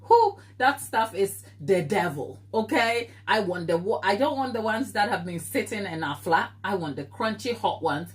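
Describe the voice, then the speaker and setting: A woman's voice: medium pitch, expressive, moderate pace, few pauses. Chatty Ghanaian woman baking on a livestream. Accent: Ghanaian with English influence. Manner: chatty, tangent-prone, reads and answers viewer comments. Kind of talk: casual live-stream chat while baking.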